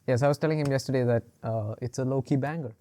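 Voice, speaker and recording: Male podcast co-host, mid-pitched and mellow, loose rambling chat full of fillers and laughs. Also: fast. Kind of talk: casual chat.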